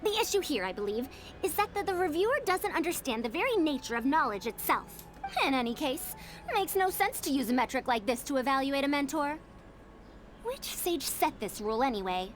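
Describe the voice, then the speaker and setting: A proud video game scholar who sounds like a girl and talks like a venerable professor. The voice is very high and highly animated, pompous and formal, with music playing behind it. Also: brisk. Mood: haughty indignation. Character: youthful-sounding female elder scholar, proud, indignant and evasive.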